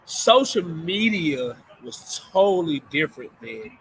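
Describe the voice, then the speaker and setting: A man's voice: fairly high pitch, big pitch swings, measured pace, slightly rough, rough recording. A man hosting a basketball interview, asking questions loosely and filling his speech with "you know what I'm saying". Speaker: show host and coach.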